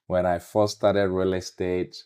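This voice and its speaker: A man's voice: low-pitched, little pitch movement, measured pace, warm tone, smooth. A man with a Nigerian accent, a realtor answering interview questions with earnest, emphatic storytelling.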